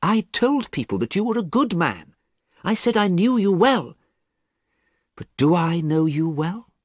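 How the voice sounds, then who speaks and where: A man reading as an earnest artist who talks quietly and sadly to a friend he fears for. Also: high voice, sing-song, measured pace, no room sound, voice pushed up, heavily acted. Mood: pleading. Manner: quiet, pleading, sad. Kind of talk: audiobook character reading.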